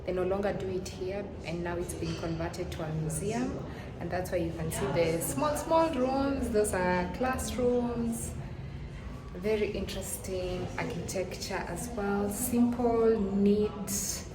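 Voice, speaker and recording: A woman speaking slowly and gently to her phone camera in a casual travel vlog, her voice at an ordinary middle pitch. Light laughs slip in between her phrases. Clear background noise and passing vehicles sit under the voice. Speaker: travel vlogger.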